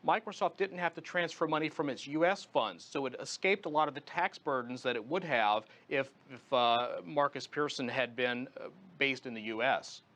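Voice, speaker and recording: A man's voice: medium pitch, normal speed, few pauses, a bit bright. A man speaking as a guest commentator in a live news interview, explaining his analysis point by point.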